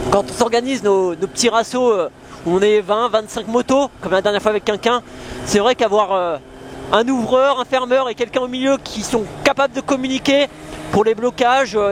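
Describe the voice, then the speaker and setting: A young man chats casually in slang, hesitating and thinking aloud as a motorcycle vlogger. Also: high-pitched. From France. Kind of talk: casual chat. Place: outdoors on a moving motorcycle. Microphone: helmet intercom microphone. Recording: clear vehicle and wind noise, helmet intercom.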